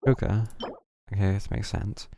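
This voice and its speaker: A man streaming a video game with casual, deadpan, muttered commentary. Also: lowish voice, moderate pace, noisy background. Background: faint game music.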